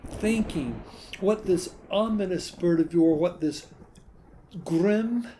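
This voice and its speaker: A man giving a dramatic, theatrical recitation of a gothic poem, with halting delivery and long pauses. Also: sits high, mellow, a little hiss or hum. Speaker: poetry reader.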